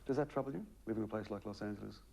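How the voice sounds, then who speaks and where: Aussie bloke interviewing, really quick, deep mellow voice. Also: male voice, gravelly. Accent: Australian. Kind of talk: conversational celebrity interview. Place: living room in a house.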